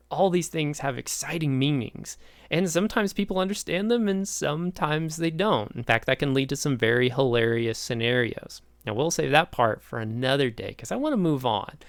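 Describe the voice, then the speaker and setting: Sociology lecturer, male, 30s, Midwestern accent, mid-pitched voice, keen and conversational. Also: no echo. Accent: Midwestern American. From East Tennessee, Appalachia. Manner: enthusiastic, chatty, asks the listener questions. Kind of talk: recorded online lecture.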